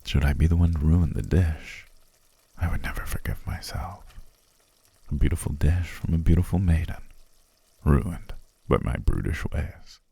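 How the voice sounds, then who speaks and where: Man as a very deep, gravelly demon king. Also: moderate pace, warm tone, heavily acted. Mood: flattering. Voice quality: very deep, gravelly, husky, breathy. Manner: formal, old-fashioned courtly phrasing, savoring. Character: courteous, flattering demon king, grand yet playful. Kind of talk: ASMR roleplay, intimate second-person acting.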